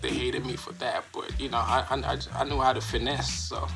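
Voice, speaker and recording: A man from Ghana speaks with a gravelly edge, telling relaxed stories as a podcast guest. Music plays clearly behind him. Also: mid-range voice, brisk. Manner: laid-back storyteller, laughs often. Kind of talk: casual interview chat.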